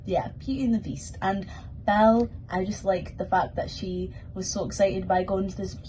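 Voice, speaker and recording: Mellow-voiced Scottish woman, chatty. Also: mid-range voice, steady tone, moderate pace, a little hiss or hum, rough recording. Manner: chatty, informal. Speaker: pantomime performer reading out questions. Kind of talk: casual spoken question-and-answer for children.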